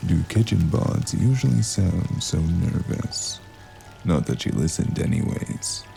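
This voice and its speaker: A man playing a brooding noir storyteller, narrating an audio drama deliberately. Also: low voice, lively intonation, vocal fry, warm tone, soft background music, full character voice. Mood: wry, wistful.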